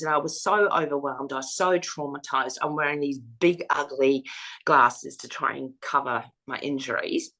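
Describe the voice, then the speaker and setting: A woman with a husky voice, frankly recounting her own experience as an interview guest. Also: low voice, a bit bright, low-quality recording. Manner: frank, matter-of-fact storytelling. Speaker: foundation founder, interviewee. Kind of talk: personal testimony in an interview.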